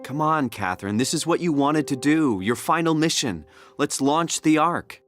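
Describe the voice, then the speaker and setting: A man's voice: on the high side, lively intonation, moderate pace, a bit bright, faint music behind. An actor playing a bewildered, earnest everyman in a video game, with a questioning, hesitant manner. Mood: determined. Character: bewildered, earnest everyman whose mind is in a machine body.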